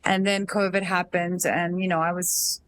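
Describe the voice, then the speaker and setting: A woman from Australia speaks with a lowish voice as she answers interview questions. She talks in a thoughtful, rambling way and drops plenty of ums along the way. It has the easy feel of a casual chat. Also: little pitch movement, normal speed, fairly bright tone. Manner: thoughtful, rambling, many fillers. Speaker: guest, singer-songwriter and entrepreneur.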